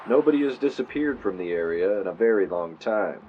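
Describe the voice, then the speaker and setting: A muffled field tape carries an actor as a male podcaster with a fairly low voice. He narrates like a campfire storyteller in an audio drama, theatrical with wry asides. Faint vehicle noise sits behind his clip-on mic. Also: expressive, a little fast, close to an everyday voice. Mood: ominous, dramatic. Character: male podcaster dramatically recording a spooky true-crime investigation. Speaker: podcast host character. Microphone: mic clipped to shirt.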